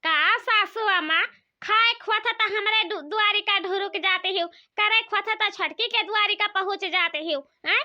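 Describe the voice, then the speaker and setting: Cartoon daughter-in-law voiced by a woman: sharp-tongued, put-upon nagger, extremely high piercing tone, Awadhi accent, lo-fi sound. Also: moderate pace, heavily acted. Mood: complaining. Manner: nagging, complaining rustic talk. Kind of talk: comic cartoon dialogue. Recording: dull lo-fi sound.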